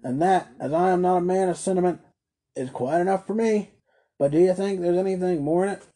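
A man's voice: high voice, brisk, mellow, pitched above the performer's own voice, close to an everyday voice. A man voicing a smug, domineering older male merchant in a storybook reading, curt and condescending. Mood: smug, boastful.

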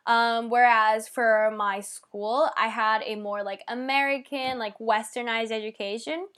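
A woman's voice: fairly high pitch, steady tone, measured pace, fairly bright tone. Chatty young woman podcast co-host from Japan. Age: young adult. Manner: chatty, lots of "like" and "um" fillers. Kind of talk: casual podcast chat.

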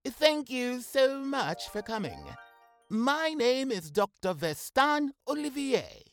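An actress playing a jokey, nosy group therapist in an audio sitcom, effusive and giggly. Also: female voice, high-pitched, very animated, slow pace, faint music behind, exaggerated voice. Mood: chirpy. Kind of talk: sitcom audio theatre acting.

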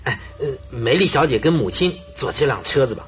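An actor playing an eager, shifty bridegroom in a radio drama, coaxing and halting with interjections. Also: male voice, mid-range voice, sing-song, natural voice. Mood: flustered.

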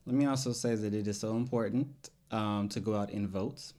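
A man's voice: on the low side, fairly even intonation, brisk, warm tone. A man from the United States hosting a podcast in a casual, conversational style. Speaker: podcast host.

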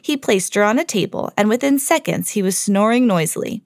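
Animated woman reading a children's story. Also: medium pitch, brisk, no echo, clean studio sound. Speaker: podcast host and storyteller.

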